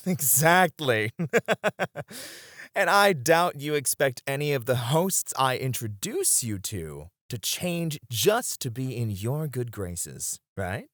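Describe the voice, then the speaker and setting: Man playing a flirty, slow-talking, expressive club host. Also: medium pitch, no echo, clean studio sound. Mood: gentle, encouraging. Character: flirty, supportive male club host comforting his friends. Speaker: voice actor in an ASMR roleplay. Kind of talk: ASMR roleplay acting.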